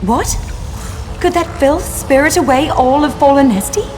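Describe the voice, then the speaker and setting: A woman's voice: high-pitched, animated, moderate pace, a little mellow, soft background music. An actress playing a noble elven lady in a video game, long imprisoned and yearning for home, speaking in formal theatrical diction. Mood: distraught. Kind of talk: video game character acting.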